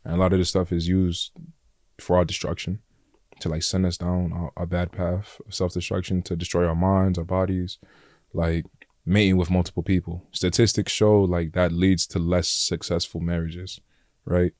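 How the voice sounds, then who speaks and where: A young man with a New York accent and a deep voice, talking bluntly and casually as a podcast guest, full of slang and "like" and "bro". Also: flat delivery, normal speed, darkish tone, no room sound, clean studio sound. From Flatbush, Brooklyn, New York. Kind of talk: casual conversation.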